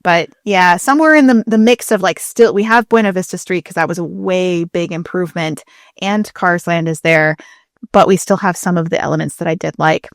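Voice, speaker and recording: A woman's voice: on the low side, moderate pace, no echo, clean studio sound. A woman co-hosting a podcast in casual conversation, talking with a fan's enthusiasm and plenty of detail. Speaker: podcast co-host. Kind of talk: casual chat.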